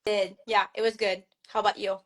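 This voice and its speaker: A woman's voice: medium pitch, little pitch movement, brisk, a bit bright. A young woman with a Québécois French accent, French her first language, talking casually as an author guest on a video call, full of fillers and restarts. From Montreal, Canada. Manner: chatty, many fillers and restarts.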